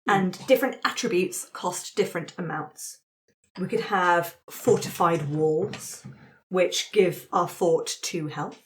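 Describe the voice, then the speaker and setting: A woman's voice: on the low side, takes it slowly, a little hiss or hum, low-quality recording. A woman facilitating a tabletop roleplaying game, explaining the rules to a group of friends in casual chat.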